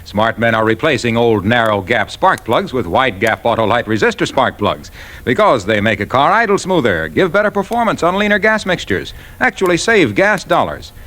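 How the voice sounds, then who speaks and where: An eager radio pitchman of the 1950s. His mid-pitched voice is lively and emphatic, with the polished swing of a sponsor's announcer. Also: moderate pace. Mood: upbeat selling. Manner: emphatic, smooth salesmanship. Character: eager, polished spark plug salesman. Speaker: commercial announcer.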